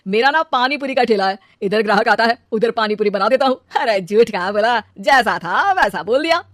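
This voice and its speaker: A woman's voice: fairly high pitch, lively intonation, moderate pace, no room sound, lightly acted. A woman voicing a boastful young husband in a cartoon, a shameless liar who glibly justifies himself. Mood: glib, defensive. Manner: glib, hesitates then brazenly justifies himself. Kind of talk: animated cartoon dialogue.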